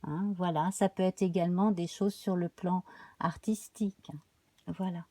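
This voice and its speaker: A woman reads tarot cards for a live audience, her voice slightly hoarse. She speaks slowly and haltingly, filling pauses with small sounds, in a warm, mellow tone. The recording is dry and close. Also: on the low side, steady tone, dry recording. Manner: slow, halting, many fillers. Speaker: tarot reader. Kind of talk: live tarot card reading.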